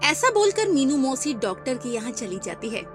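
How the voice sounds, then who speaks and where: Lively woman narrating a cartoon tale. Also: high-pitched, moderate pace, music in the background. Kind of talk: scripted cartoon story narration.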